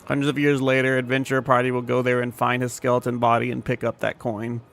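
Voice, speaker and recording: A man's voice: medium pitch, completely flat delivery, quick pace, creaky, a bit bright. A man giving casual commentary as he streams a game. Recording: faint game music behind.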